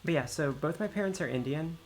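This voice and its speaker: Male American game streamer, casual and explanatory, some vocal fry, slight background noise. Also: a little high, lively intonation, fairly quick, poor audio. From United States, Maryland. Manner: rambling, explanatory asides to chat. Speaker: livestream host playing a video game. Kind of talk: casual chat while gaming.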